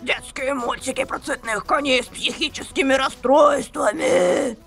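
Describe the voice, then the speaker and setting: A sneaky, crude bearded villain from a homemade visual novel reading, as a man puts him on. The voice is gravelly and slow, swinging widely in pitch, with faint music underneath. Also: sharp tone, exaggerated voice. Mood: gruff. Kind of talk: amateur voice-over of a visual novel.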